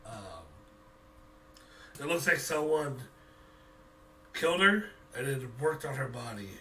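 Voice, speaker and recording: Male game master, bright forceful voice, improvised tabletop narration, a little off-mic, faint noise. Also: medium pitch, lively intonation, slow pace, recorded from a distance.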